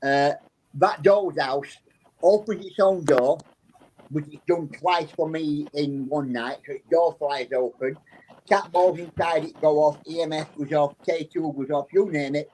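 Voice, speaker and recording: A man's voice: fairly high pitch, unhurried, a little mellow, poor audio. A man with a South Yorkshire accent and a slightly raised voice, chatting as an interview guest. He tells loose, rambling stories, doubling back and restarting as he goes. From England. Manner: rambling anecdotal storytelling with frequent restarts. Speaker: psychic guest. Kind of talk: casual interview chat.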